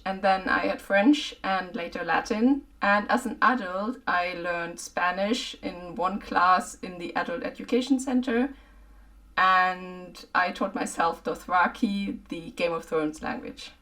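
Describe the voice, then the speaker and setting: A woman with a German accent, narrating her language learning in a casual vlog, in a clear, measured way. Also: on the low side, fairly bright tone, recorded from a distance. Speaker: vlogger.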